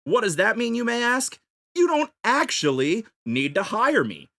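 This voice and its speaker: Podcast panelist doing a comedy sales pitch, really swoopy voice, playful, asks and answers own questions. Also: sing-song, a little slow, creaky, fairly bright tone. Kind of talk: improvised comic pitch in a debate podcast.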